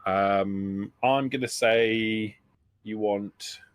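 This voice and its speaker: Halting man with a lowish, dry-recorded voice. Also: fairly even intonation, clear, resonant tone, no room sound. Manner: hesitant, halting, often rephrasing. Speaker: game master. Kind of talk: improvised tabletop game narration.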